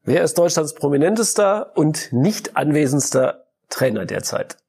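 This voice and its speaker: A man's voice: fairly high pitch, animated, moderate pace, darkish tone. A man hosting a sports talk show, asking pointed, ironic questions of his guest.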